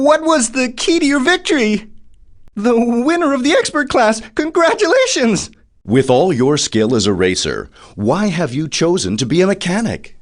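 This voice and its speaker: A man playing an excitable TV reporter in a video game, putting interview questions to race winners in a showy announcer manner. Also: very high-pitched, full character voice. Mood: nervous, stammering, then excited.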